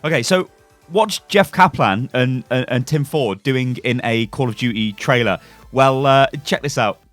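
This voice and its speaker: A man giving casual gaming news commentary as a YouTuber, chatty and excitable, with rhetorical asides to his viewers. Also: mid-range voice, unhurried, faint music behind.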